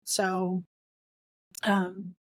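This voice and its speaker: A woman's voice: fairly low pitch, completely flat delivery, very slow, no echo, rough recording. A woman co-hosting a podcast in a casual book discussion, speaking in an opinionated, playfully emphatic way.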